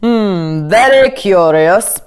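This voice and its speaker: A woman's voice: medium pitch, expressive, extremely slow, clean tone. A woman playing a pompous, irritable and self-satisfied storybook king, emphatic and blustering. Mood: puzzled.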